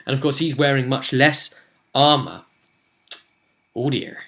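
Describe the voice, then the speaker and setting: A young man talks casually to the camera as a game master. He tells the story of a tabletop session with dramatic emphasis, rambling along as he goes. His pitch is average for a man. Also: lively intonation, unhurried, vocal fry, a bit bright. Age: young adult. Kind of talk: animated casual recap of a tabletop role-play session. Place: small room at home, indoors.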